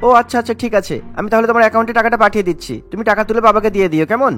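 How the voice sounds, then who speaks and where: A man doing a high, mild grown son. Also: steady tone, racing, fairly bright tone, faint music behind. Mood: obliging. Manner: obliging, mild. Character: grown son, agreeable and easygoing.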